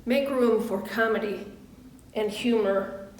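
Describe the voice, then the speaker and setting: A woman delivers a sermon as a minister, slowly and deliberately, in short phrases set apart by pauses. Her voice sits a little high. The recording is roomy and echoing, picked up at some distance from the microphone. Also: a little mellow. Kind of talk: sermon and guided prayer.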